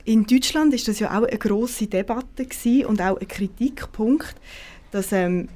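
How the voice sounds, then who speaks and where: A woman's voice: a little high, unhurried, dark tone. A woman hosting a conversation, asking long, loosely phrased questions with many fillers. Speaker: podcast host. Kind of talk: conversational interview.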